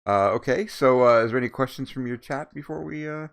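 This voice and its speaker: A man's voice: mid-range voice, fairly quick, clean tone. A man hosting a casual interview who rambles, swears freely and laughs often. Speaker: interview host.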